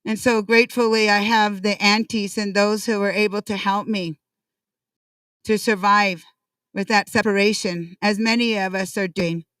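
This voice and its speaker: A woman speaks earnestly at a ceremony, repeating her words and pausing to find them. Her voice is smooth and clear but heard from a distance, with a dry sound. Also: mid-range voice, fairly even intonation, a bit bright, no room sound. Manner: earnest, repetitive, halting. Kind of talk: ceremonial speech.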